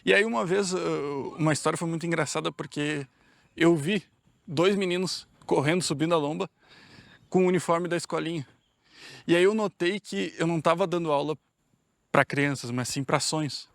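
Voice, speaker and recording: A man's voice: on the high side, normal speed, creaky, a bit bright, dry recording. A man who teaches, telling a story about his pupils in a reflective way. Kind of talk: interview anecdote.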